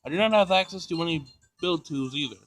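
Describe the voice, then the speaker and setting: A man voicing a formal, literal-minded android in a teenage girl's body, stiff and halting, for a visual novel read-aloud. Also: on the high side, a bit bright, natural voice. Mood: matter-of-fact. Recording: music behind the voice.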